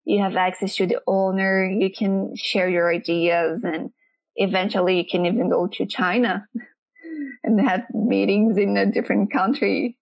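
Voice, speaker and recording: Brazilian woman interviewee, non-native English, vocal fry, thoughtful and searching. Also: medium pitch, steady tone, a little slow, highs cut off. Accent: Brazilian Portuguese. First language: Portuguese. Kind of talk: casual interview chat.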